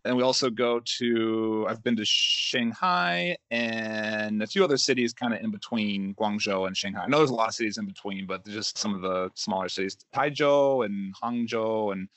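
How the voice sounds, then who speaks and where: A man hosting a podcast interview in casual chat, upbeat and quick to laugh, recording from a hotel room. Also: on the low side, a bit bright, dry recording. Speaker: podcast host.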